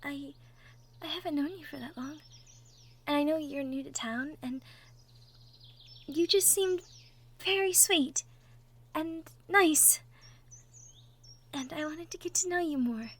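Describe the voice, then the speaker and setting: A woman voicing a sweet, shy young cottage girl in love, gentle and stammering, in a girlfriend roleplay audio drama. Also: high voice, slow, pauses often, slight background noise. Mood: shy, tender. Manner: stammering, gentle, breathy asides.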